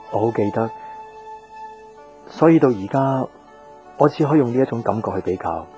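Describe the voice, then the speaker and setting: A low, soft-edged male voice over music, an actor as a weary, guarded young man in a radio play. He speaks with subdued reflection, like someone devoted but worn down. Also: close to an everyday voice. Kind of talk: Cantonese radio drama acting.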